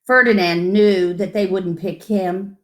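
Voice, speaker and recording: A woman reading a picture book aloud to children in an expressive, gentle, deliberate storytelling manner, with asides about the pictures. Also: mid-range voice. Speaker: children's story-time reader.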